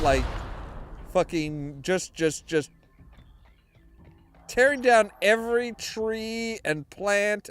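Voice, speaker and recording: Male gamer, high and lively register, slangy and profane, loose off-the-cuff play-by-play at a slow pace. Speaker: video game streamer. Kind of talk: casual gameplay commentary.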